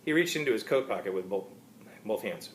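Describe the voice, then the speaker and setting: A man giving an amateur reading of a first-person story, narrating in a halting, wry way. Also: fairly low pitch, animated, slight background noise. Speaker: author reading his own story.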